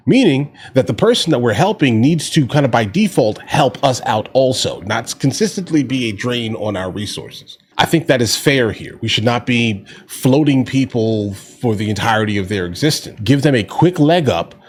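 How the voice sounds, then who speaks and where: A man's voice: mid-range voice, expressive, normal speed, hardly any pauses. A man hosting a reaction video, giving casual, conversational commentary as he explains his opinions.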